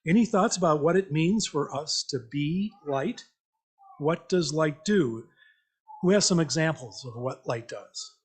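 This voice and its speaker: Male lay preacher, somewhat high-pitched, thoughtful and conversational, leading an interactive message for a congregation. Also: expressive, a little slow, husky. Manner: conversational, reflective, repeats listeners' answers back. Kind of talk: interactive sermon, asking the congregation questions. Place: church meeting, speaking to a congregation.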